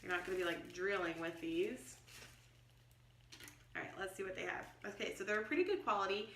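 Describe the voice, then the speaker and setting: A middle-aged American woman talks through a product in a chatty, explanatory vlogger style. Her voice is bright and forward, at an ordinary female pitch. The room adds noticeable echo, and she sounds a little way from the microphone. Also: brisk, creaky, a little hiss or hum. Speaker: homeschooling vlogger and product reviewer. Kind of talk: casual unboxing review. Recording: roomy echo, distant mic.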